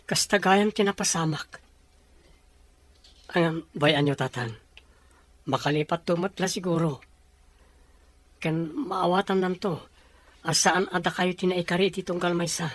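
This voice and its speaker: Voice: mid-range voice, fairly even intonation, measured pace, pauses often, lightly acted. An actor playing a concerned, respectful young son in an Ilocano audio drama, full of questions. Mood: consoling. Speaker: voice actor in a radio-style drama. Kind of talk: Ilocano radio drama acting.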